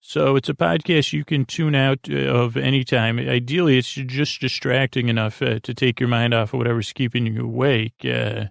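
Man, gravelly creak, soothing sleepy rambler, hesitant phrasing, bedtime podcast host, close dry sound. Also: mid-range voice, fairly even intonation, moderate pace, hardly any pauses, no echo. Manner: meandering, hesitant, stop-start.

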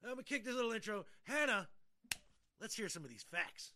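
Bright, higher-pitched man chatting casually. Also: lively intonation, brisk, slight background noise. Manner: colloquial, slangy. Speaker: podcast host. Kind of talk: casual podcast chat.

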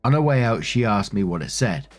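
A man narrating online stories in a steady, even reading voice. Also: fairly low pitch, brisk. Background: faint music.

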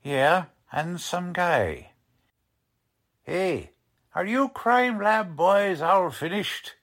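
Cocky, swooping 1940s police lieutenant. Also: male voice, high-pitched, big pitch swings, very slow, fairly bright tone, exaggerated voice. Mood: businesslike. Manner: brusque, slangy, ungrammatical tough-cop talk. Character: cocky, condescending male police lieutenant, brusque 1940s gumshoe. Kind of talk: 1940s radio drama acting.

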